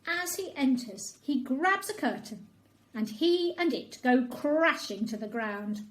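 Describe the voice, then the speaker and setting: A woman reads a children's bedtime story with plenty of expression. Her voice sits fairly high and moves in very animated swoops, shaping the narration for young listeners. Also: slow pace.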